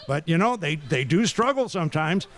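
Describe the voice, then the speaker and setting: Man playing a high-pitched, stammering young fiancé. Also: animated, normal speed, fairly bright tone. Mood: nervous, eager. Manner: stammering, sputtering. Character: flustered, hapless young fiancé, eager but blundering. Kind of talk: comic radio play acting.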